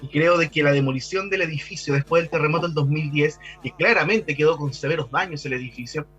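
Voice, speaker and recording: A man with a Chilean accent and a fairly high voice talks as an interview guest. He explains things at length in a conversational way, doubling back on words as he goes. Faint music plays behind him. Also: quick pace, fairly bright tone, slightly rough, highs cut off. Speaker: guest expert on local architectural history.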